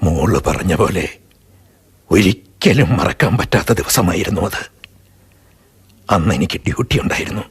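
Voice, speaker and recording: An older man narrating and acting a radio drama in a measured storytelling way. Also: low voice, expressive, breathy edge. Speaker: narrator and stationmaster figure.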